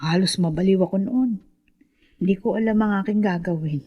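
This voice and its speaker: Husky, sorrowful mother played by an actress. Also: medium pitch, brisk, highs cut off, natural voice. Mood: sorrowful recollection. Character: anxious, sorrowful mother of a grown daughter. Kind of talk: radio horror drama acting in Tagalog.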